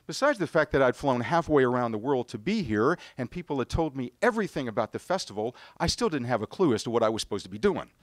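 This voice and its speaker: A middle-aged man with an American accent telling a rehearsed personal story on stage, with theatrical, deliberate phrasing. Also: mid-range voice, big pitch swings, no echo. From United States. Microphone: stage microphone on a stand.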